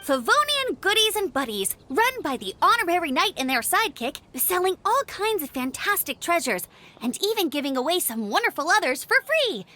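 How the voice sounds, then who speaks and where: A woman's voice: very high voice, sing-song, moderate pace, sharp tone, full character voice. A woman playing a perky, enthusiastic and friendly young female knight in a video game. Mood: bubbly, eager. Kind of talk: video game cutscene acting.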